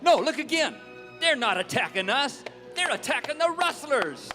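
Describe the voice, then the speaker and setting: A man playing an excitable, plain-spoken ranch hand with an in a live radio play. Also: extremely high pitch, moderate pace, heavily acted. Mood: excited. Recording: echoey hall with orchestral music.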